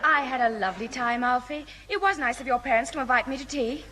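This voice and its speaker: High, husky young sweetheart. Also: female voice, quick pace, pushed and bright, a faint crowd. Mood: tender. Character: sweet young woman talking to her boyfriend. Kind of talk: 1960s radio sitcom acting. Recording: old 1960s radio recording, audience behind.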